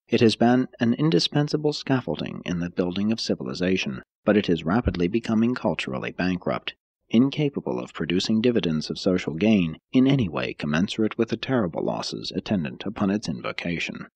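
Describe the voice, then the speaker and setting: A man reading a formal scripted audiobook as narrator, with measured, deliberate and emphatic phrasing. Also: low-pitched, sing-song, a little mellow, breathy edge, no echo, highs cut off.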